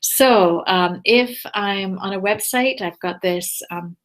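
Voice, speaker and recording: A woman's voice: mid-range voice, slow, a bit bright, poor audio. A woman teacher walking viewers through a screen-shared tutorial step by step, patient and explanatory, with frequent ums. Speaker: teacher giving a tech tutorial. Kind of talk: screencast walkthrough.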